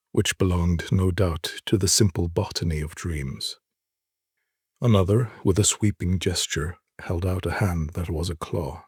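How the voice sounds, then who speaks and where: Male narrator, low and very husky, solemn and deliberate literary storytelling, dry close sound. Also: no room sound. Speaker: narrator reading short stories. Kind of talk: dramatic literary reading.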